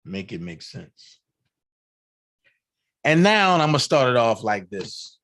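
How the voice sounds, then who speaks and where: A hoarse man hosting a livestream, chatting casually and hyping up shout-outs to fellow channels. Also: mid-range voice, sing-song, slow pace. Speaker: livestream host.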